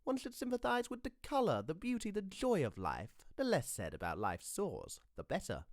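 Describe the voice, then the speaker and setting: A man's voice: high voice, very animated, normal speed, dry recording, voice pushed up. Guy doing a lazy witty aristocrat, drawly n ironic, super dry close sound. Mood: languid wit. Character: languid, witty young aristocratic lord.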